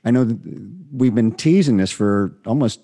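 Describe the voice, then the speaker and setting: A man's voice: mid-range voice, animated, normal speed, mellow. A man hosting a talk show, casual and easygoing. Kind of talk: casual chat.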